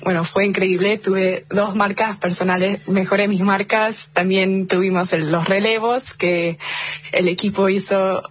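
Husky, slightly breathy young woman heard over a dull, lo-fi phone line. She speaks Argentine Spanish with an American tinge, sounding cheerful and halting and restarting her phrases as she talks. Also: mid-range voice, fairly even intonation. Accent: Argentine Spanish with an American English tinge. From Argentina, raised in the United States. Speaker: athlete guest. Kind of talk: casual phone interview.

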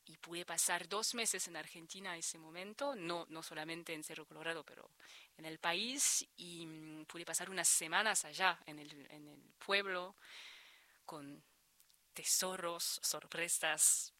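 A French woman in her thirties, a guest in a radio interview, speaking Spanish with a French accent, halting and restarting words, laughing often. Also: on the low side, steady tone, sharp tone, no echo. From France. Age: 30s.

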